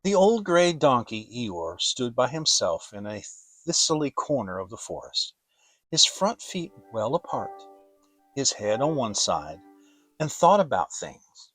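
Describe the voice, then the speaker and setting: A man narrating a children's story as a dramatic audiobook, deliberate and expressive. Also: medium pitch, no room sound, faint music behind.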